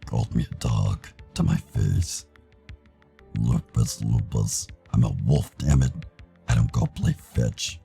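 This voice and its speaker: Gravelly, growling, surly wolf guy. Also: extremely low pitch, moderate pace, dark tone, soft background music, voice pushed down, heavily acted. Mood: indignant. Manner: blunt, grumbling, defiant. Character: gruff, surly anthropomorphic wolf guy, young, quick-tempered bully. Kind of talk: visual novel character voicing.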